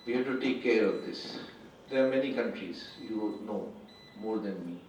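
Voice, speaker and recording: An Indian man whose first language is Urdu gives an unscripted formal address at a symposium. He speaks in a halting way and often restarts and corrects himself. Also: on the low side, darkish tone, slight background noise. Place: large lecture hall. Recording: echoey hall, distant, muffled.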